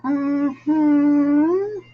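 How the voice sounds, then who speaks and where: A woman's voice: high-pitched, very slow. A woman co-hosting a casual live show, putting in brief, agreeable remarks that echo the host. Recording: very dull, lo-fi audio with music. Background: music.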